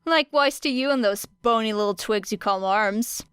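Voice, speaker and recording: A woman's voice: on the high side, normal speed, fairly bright tone, clean tone, dry recording, close to an everyday voice. A woman voicing a guarded teenage girl who trades sarcastic jabs. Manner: sarcastic, stiff. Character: guarded snarky teenage girl hero student. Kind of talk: audiobook character reading.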